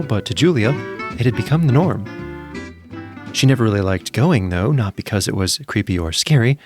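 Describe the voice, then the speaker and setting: A man narrating a scripted story as a podcast storyteller, in a gentle storybook way with deliberate phrasing. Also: medium pitch. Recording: music behind the voice. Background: music.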